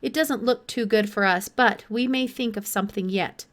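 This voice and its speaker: A woman voicing a kindly, worried male doctor with a gentle, measured manner in a children's audiobook. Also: mid-range voice, lightly acted. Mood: weary, reassuring.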